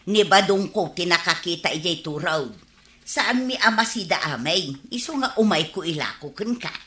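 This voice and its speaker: Bright-voiced lively village housewife, woman acting. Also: fairly low pitch, moderate pace. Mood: chatty. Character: lively rural housewife planning the cooking. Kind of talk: Ilocano radio comedy drama acting. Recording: roomy, echoing radio drama recording.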